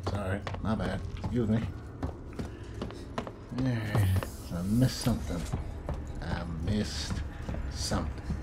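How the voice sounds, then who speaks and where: Low-voiced man muttering through a game. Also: noisy background. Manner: muttering, wry, repeats phrases. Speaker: gamer recording a playthrough. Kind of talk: casual gameplay commentary, talking to himself. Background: game sounds and eerie music.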